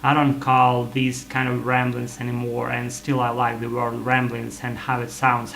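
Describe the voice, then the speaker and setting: A man whose first language is Russian, rambling in English in a vlog from his apartment, halting with many fillers and self-corrections. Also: medium pitch, vocal fry, fairly bright tone, a little hiss or hum, poor audio. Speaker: vlogger. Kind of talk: rambling English practice monologue.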